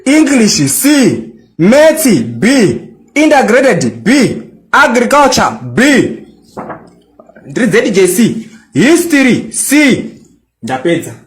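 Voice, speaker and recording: A man's voice: high voice, echoey room. A Zimbabwean man in his twenties, boastful and mocking as he rants back at a critic and slips English into his talk. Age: 20s. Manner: mixes English into local-language talk. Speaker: social media personality. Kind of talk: angry response rant.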